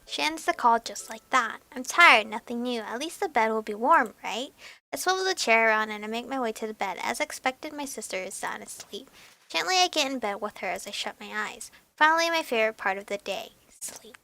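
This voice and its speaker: A young woman reading a visual novel aloud as a let's player, expressive and animated, with music behind her. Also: fairly high pitch, brisk, gravelly.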